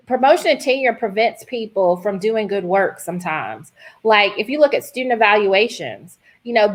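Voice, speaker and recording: A woman speaking as a guest professor in an informal discussion, with a candid, deliberate and emphatic manner. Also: mid-range voice.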